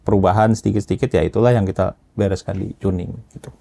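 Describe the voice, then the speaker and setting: A man's voice: low-pitched, steady tone, quick pace, creaky, mellow. A man casually explaining technical matters as an expert, often checking understanding with short tag questions. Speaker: audio system engineer being interviewed as an expert.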